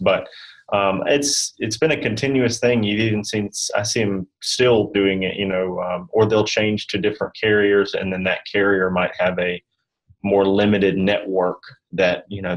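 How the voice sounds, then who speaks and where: A man giving informal interview answers as an insurance expert, talking in a rambling, halting, conversational way. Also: low-pitched, poor audio. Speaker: guest expert on health insurance benefits.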